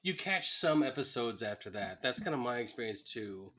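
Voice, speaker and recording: A man's voice: mid-range voice, expressive, moderate pace, crowd noise. A man co-hosting a podcast, chatting casually and conversationally. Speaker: podcast co-host.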